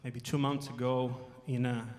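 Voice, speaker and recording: Soft-spoken man on stage. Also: mid-range voice, little pitch movement. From Brazil. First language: Portuguese. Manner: soft-spoken, hesitant, rushed asides. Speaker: singer-songwriter. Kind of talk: stage talk between songs. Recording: reverberant live hall with music.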